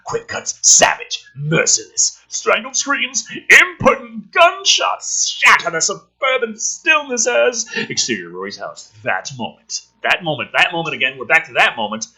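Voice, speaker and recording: A man's voice: sits high, sing-song, unhurried, few pauses, sharp tone, breathy edge, rough recording. A man with a slightly sick throat reads a script aloud, adding sweary, sarcastic, exasperated commentary. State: throat trouble from being a bit ill. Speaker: host reading and mocking a film script. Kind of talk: script read-aloud with ranting, joking commentary.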